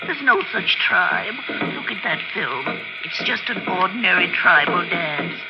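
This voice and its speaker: An actress playing a shrewd, skeptical spinster lady sleuth, brisk, dry and decisive, in old-time radio mystery style. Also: fairly low pitch, expressive, highs cut off. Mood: conspiratorial. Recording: old radio drama, band-limited, music behind.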